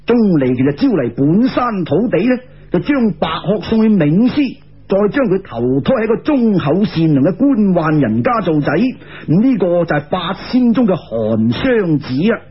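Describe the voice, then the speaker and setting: A male storyteller with a Cantonese accent narrates folk tales in a colloquial, expressive way. His rather high voice is very rough and swings widely in pitch. The recording is dull and lo-fi. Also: normal speed. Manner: colloquial, expressive, with conversational particles.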